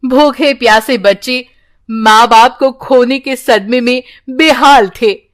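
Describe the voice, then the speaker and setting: A woman's voice: fairly high pitch, slow. A woman storyteller reading a scripted children's tale in a sorrowful, dramatic way.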